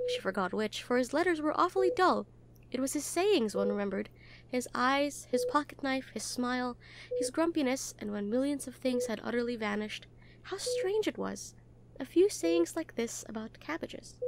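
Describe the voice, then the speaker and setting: A woman with a high voice reads literary prose aloud. She phrases it expressively, in the manner of a story narrator. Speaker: audio roleplay voice actor reading a novel aloud. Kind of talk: expressive literary book reading.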